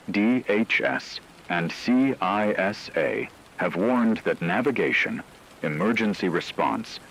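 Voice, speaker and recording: A man voicing a grave radio news anchor, reading a scripted emergency broadcast in a formal, measured way. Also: sits low, fairly bright tone, full character voice, rough recording. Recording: radio-style broadcast with faint hiss, rain-like noise.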